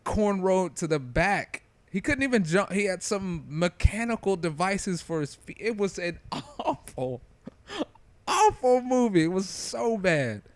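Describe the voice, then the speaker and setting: A man's voice: on the high side, no room sound. A man giving casual, opinionated review commentary in an informal, slangy, conversational way. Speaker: video creator giving commentary.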